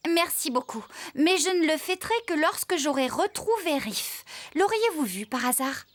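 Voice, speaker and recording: High voiced bossy tidy farm lady for french kids cartoon, very expressive. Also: normal speed. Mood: concerned. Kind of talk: French children's cartoon dubbing.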